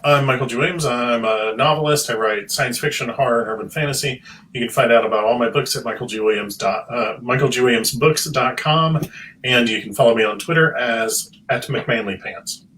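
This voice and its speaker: A man's voice: medium pitch, fairly quick, fairly bright tone. A man speaking as a panel guest on a video call, casual and wry. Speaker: novelist, panelist. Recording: distant mic with clear background noise.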